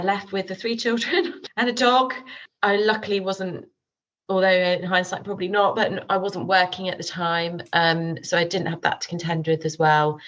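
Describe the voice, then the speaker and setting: A woman's voice: lowish voice, a bit bright, lo-fi sound. A woman guest with a cold, talking plainly and candidly in an interview. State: has a cold. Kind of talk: interview conversation.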